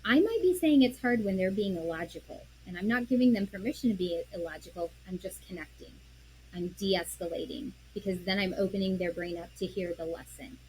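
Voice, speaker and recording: A woman guest on an interview show, earnestly explaining how parents can respond calmly, often acting out what she would say to her child. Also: medium pitch, brisk, a little hiss or hum, rough recording. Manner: earnest, explains with examples and quoted self-talk; drops to a soft, quiet voice when modeling calm. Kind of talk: conversational interview.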